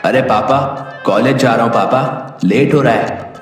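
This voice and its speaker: A man's voice: medium pitch, a little slow, lightly acted. A man voicing a pleading young college student arguing in a whiny manner in a Hindi cartoon. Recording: very echoey hall sound, distant, music behind.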